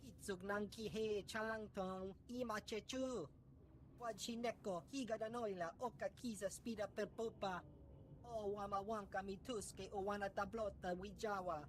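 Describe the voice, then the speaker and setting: A woman's voice: mid-range voice, steady tone, moderate pace, slight background noise, natural voice. A woman voicing a female alien informant who speaks in a fluid, singsong alien tongue, in a video game. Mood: chattering.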